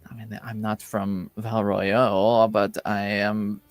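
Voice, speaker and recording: A man's voice: fairly low pitch, little pitch movement, slow, no echo, faint music behind, pitched below the performer's own voice. A man voicing a timid, worried man who stammers and hesitates in a tabletop roleplaying game. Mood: anxious, bewildered. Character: nervous, timid man, worried and easily frightened.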